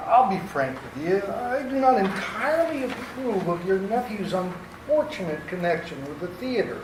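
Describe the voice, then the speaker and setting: A man playing a prim, mild minister in a radio comedy, proper, earnest and hesitant. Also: sits high. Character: prim, mild, disapproving minister visiting for tea. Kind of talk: old-time radio comedy acting. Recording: echoey distant pickup with clear noise.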